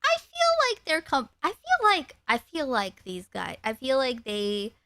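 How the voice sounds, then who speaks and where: A woman's voice: sits high, sing-song, a little slow, bright, forceful tone, no echo. A woman hosting a live stream, chatting casually and meandering, with some casual swearing. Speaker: streamer host.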